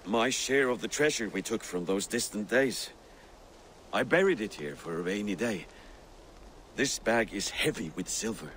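A hearty, hard-drinking Viking veteran in a video game. His voice sits fairly low and carries wistful warlord swagger, with faint ambient noise behind. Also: expressive, moderate pace, a bit bright. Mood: nostalgic. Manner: hearty, reminiscing. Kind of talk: dramatic video game acting.

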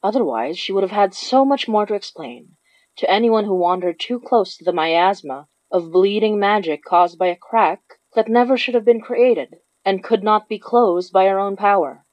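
A woman's voice: mid-range voice, normal speed, darkish tone, no room sound, muffled recording. A woman reading scripted narration for a horror story. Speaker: narrator of a horror fiction podcast.

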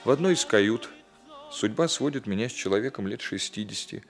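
An actor playing a reserved, watchful young police captain in a Soviet radio drama, measured and composed. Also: male voice, on the low side, expressive, faint music behind. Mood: measured narration.

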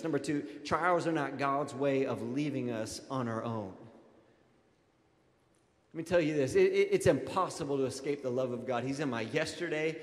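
A man in his thirties preaching a conversational sermon as a pastor, informal and animated, addressing his congregation directly into a handheld microphone. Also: medium pitch. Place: large auditorium stage, indoors. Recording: echoey hall with long reverb.

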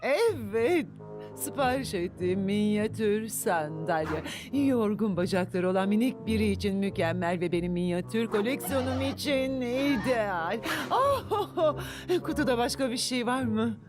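A woman voicing a scheming, haughty grandmother villain in a dubbed children's cartoon, pompous and theatrical with drawn-out words. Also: mid-range voice, expressive, lightly acted. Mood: pleased, fussy. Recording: music under the voice.

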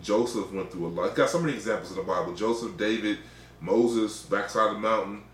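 A man's voice: on the low side, brisk. A middle-aged American man preaching an informal sermon in an exhorting way, repeating phrases for emphasis. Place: small indoor room. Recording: echoey, roomy sound.

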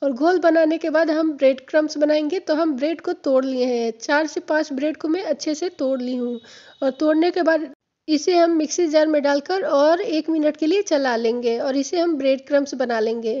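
A woman with a high-pitched, mellow voice talks viewers through a home recipe, patiently repeating each step. Her throat sounds slightly hoarse. The audio is dull and lo-fi, as if from a phone held over a kitchen counter. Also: fairly even intonation, moderate pace. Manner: friendly, instructive, repeats steps. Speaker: home cooking channel host. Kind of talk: step-by-step cooking tutorial.

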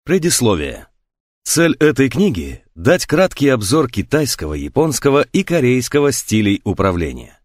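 A man reading a nonfiction audiobook in a measured, scripted narration with clearly articulated words. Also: mid-range voice, wildly swooping pitch, no echo, clean studio sound.

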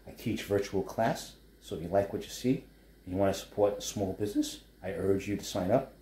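A low, mellow-toned man with a New York accent rambles cheerfully through a home cooking demo, drifting into chatty asides. His voice rings a little in a roomy living space, with a faint hum of room noise behind. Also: fairly quick. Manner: chatty, rambling asides while stirring a wok. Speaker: chef and food blogger. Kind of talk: casual cooking demonstration. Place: home living room kitchen setup, indoors.

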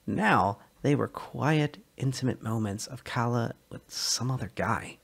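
A man narrating a science fiction short story as a dramatic reading, measured and expressive. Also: mid-range voice, vocal fry, breathy edge.

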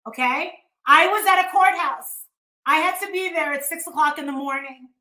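A woman's voice: sits high, moderate pace, bright, forceful tone, echoey room. A middle-aged American woman ranting casually on a livestream in a blunt, scornful, sweary manner. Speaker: YouTube host. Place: living room at home, indoors.

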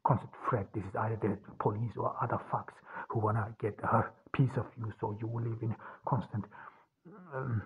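Husky man, halting monologue on tinny line. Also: on the low side, fairly even intonation. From Austria. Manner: halting, many restarts and fillers. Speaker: podcast host. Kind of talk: solo spoken monologue.

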